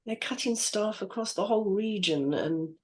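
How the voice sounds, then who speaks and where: Gruff fifties male supervisor, read by a woman, awkward and apologetic, plain read-through delivery. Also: medium pitch, lively intonation, moderate pace, darkish tone, natural voice. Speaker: actor in a script reading.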